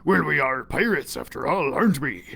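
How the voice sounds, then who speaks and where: A man's voice: fairly high pitch, lively intonation, moderate pace, vocal fry, bright, forceful tone. A man reading a children's story aloud, playfully talking like a pirate. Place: library video recording.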